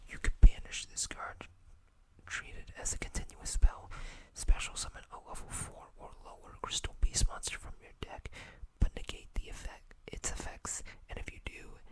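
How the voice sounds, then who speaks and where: A woman whispering in a breathy, unvoiced voice, hosting a casual, gentle ASMR show-and-tell. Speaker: ASMR video host.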